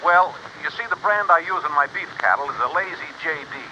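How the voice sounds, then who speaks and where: Nervous rancher, sharp bright voice. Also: male voice, a little high, fast. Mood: folksy, hesitant. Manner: hesitant, folksy, evasive. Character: nervous, evasive, insistent male western cattle rancher. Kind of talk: 1950s radio drama acting. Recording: muffled, noisy old radio recording.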